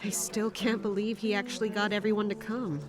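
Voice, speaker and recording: A woman's voice: medium pitch, level tone, a little fast, over music, lightly acted. An actress playing a serious, dutiful young royal bodyguard in an audio drama, formal and guarded in her speech. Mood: stern, guarded.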